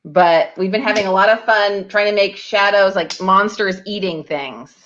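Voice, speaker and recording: A woman's voice: mid-range voice, moderate pace, a bit bright. A woman doing a casual show-and-tell as a participant on a video call, speaking into a computer microphone. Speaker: parent showing shadow experiments with a child. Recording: dull, lo-fi video call audio.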